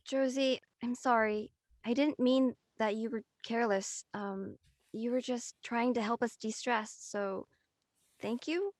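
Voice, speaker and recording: A woman's voice: fairly high pitch, a little slow, no room sound, natural voice. A woman playing a stressed, studious, apologetic teenage schoolgirl in a drama read, speaking earnestly. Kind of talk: audio drama table read acting.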